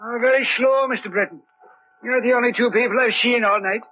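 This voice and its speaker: A man's voice: very high-pitched, fairly quick, pushed and bright. An actor playing a harmless, obliging old night watchman in a 1940s radio drama. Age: older. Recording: dull, noisy 1940s radio recording.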